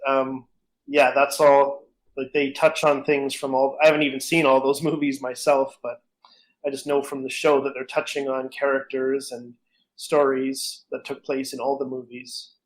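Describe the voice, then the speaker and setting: A man's voice: medium pitch, level tone, normal speed, rough recording. A man chatting casually as a co-host on a podcast.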